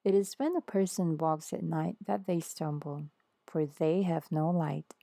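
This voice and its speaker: A woman reader voicing a calm, authoritative male teacher in a scripture story, solemn, gentle and assured. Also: sits low, measured pace, vocal fry, dry recording.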